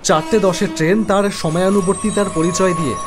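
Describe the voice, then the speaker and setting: A man's voice: sits high, fairly even intonation, fairly quick, music in the background. Young man narrating a cartoon horror story. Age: young adult. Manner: conversational, reflective. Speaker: first-person narrator. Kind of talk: scripted storytelling.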